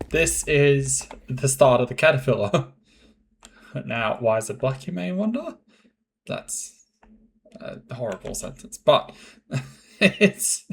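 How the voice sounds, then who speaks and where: A man hosting his own Minecraft building videos, chatting casually in a rambling, monotone way and laughing often. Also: vocal fry, slight background noise. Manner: monotone, fairly even pitch. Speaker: Minecraft builder and channel host. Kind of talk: casual gameplay commentary and voiceover.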